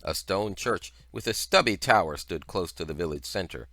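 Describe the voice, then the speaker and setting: A man reading a story aloud as scripted narration, with expressive, deliberate phrasing. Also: low voice, no echo, clean studio sound. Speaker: audiobook reader.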